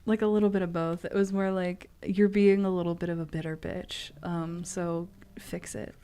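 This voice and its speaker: A woman's voice: fairly low pitch, little pitch movement, normal speed, mellow, clean tone. A woman giving tarot readings on a stream in a playful, casually profane way, moving between loose chat and reading aloud.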